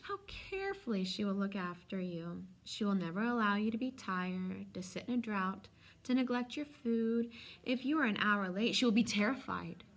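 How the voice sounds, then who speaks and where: A woman's voice: mid-range voice, lively intonation, moderate pace, voice pushed up, lightly acted. A woman voicing a polite, sly, coolly indifferent old man selling potions in a storybook reading, with precise, insinuating salesman's patter. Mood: knowing, persuasive. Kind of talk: storybook read-aloud.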